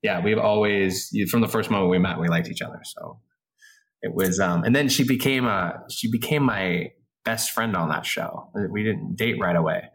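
A man's voice: fairly low pitch, moderate pace, a bit bright. A man in his forties, a guest on a casual podcast, rambling and laughing at himself as he tells stories. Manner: laughing, rambling, self-deprecating. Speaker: actor guest.

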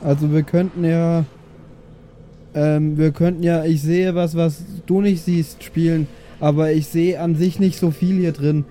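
A man's voice: fairly high pitch, level tone, measured pace, warm tone, a little hiss or hum, lightly acted. A man playing a chatty, cheekily polite prisoner in an improvised tabletop game, hesitant and meandering but insistent. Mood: playful. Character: chatty, cheekily polite male prisoner pestering his guards.

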